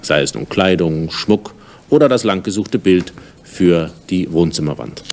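A man's voice: low-pitched, lively intonation, unhurried, a little mellow. A middle-aged man presenting from a studio desk, scripted, sober and formal.

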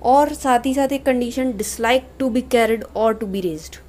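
A woman's voice: a little high, very slow, a little mellow. A woman with an Indian accent teaching a lesson in a conversational way, reading notes aloud and explaining them. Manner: conversational, repeatedly checking with "okay". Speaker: homeopathy teacher. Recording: noisy home-made screen-recording voiceover. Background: clear background noise.

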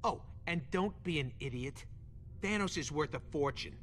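A man's voice: fairly high pitch, animated, moderate pace, fairly bright tone, faint music behind. An actor playing a wisecracking, grumpy adult male raccoon mechanic in a video game, talking in a brash, sarcastic way.

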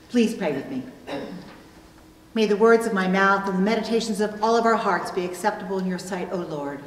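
A middle-aged woman pastor delivering a sermon in a measured, deliberate way, recorded in a church room. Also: lowish voice. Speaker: pastor preaching. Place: indoor church room at a pulpit. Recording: echoey hall, long reverb, distant mic.

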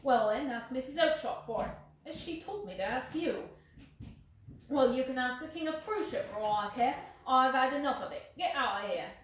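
Lady doing a shouty fuming goose seller, fast and loud, roomy echo. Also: medium pitch, steady tone, natural voice. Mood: angry. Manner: shouting, blustering. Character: gruff hot-tempered male market goose seller. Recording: roomy, distant mic, faint hiss.

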